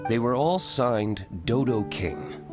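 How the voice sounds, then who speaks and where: A man's voice: medium pitch, animated, slow pace, over music. A man playing a stern, brooding young nobleman in a video game, speaking in a terse, dry, skeptical manner. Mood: serious. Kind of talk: video game cutscene acting.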